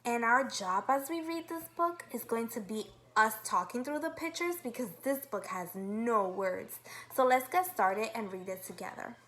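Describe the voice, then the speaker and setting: A woman's voice: on the high side, lively intonation, moderate pace, a bit bright, slight background noise. A woman kindergarten teacher leading a read-aloud lesson, upbeat and instructive, asking guiding questions.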